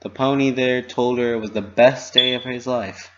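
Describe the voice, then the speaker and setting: A narrator reads a story aloud in a dramatic storybook style. The voice sits at a medium pitch. The home recording sounds a bit dull and lo-fi. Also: fairly even intonation, normal speed, fairly bright tone.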